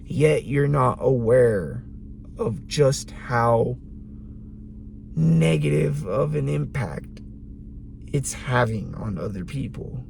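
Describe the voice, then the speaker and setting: A man with a very gravelly, raspy voice talks slowly and deliberately, leaning on long pauses. He speaks from a parked car at night, with a faint hum of noise behind him. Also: medium pitch, expressive, a little mellow. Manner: slow, deliberate, emphatic pauses. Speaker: vlogger. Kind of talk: reflective late-night monologue.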